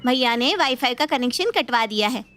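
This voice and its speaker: A woman voicing a playful, outspoken young daughter in an animated moral story, with a chatty, teasing manner. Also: on the high side, a little fast.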